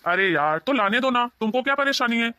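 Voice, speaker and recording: An actor playing a placating, exasperated husband who avoids conflict in an animated family story. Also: male voice, very high voice, a little fast, clean tone, dry recording, heavily acted.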